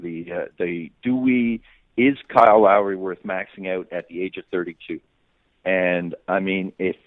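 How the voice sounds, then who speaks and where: A man's voice: lowish voice, no room sound. A man giving off-the-cuff sports analysis as a guest reporter, often repeating words and restarting his sentences. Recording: muffled phone-like radio line.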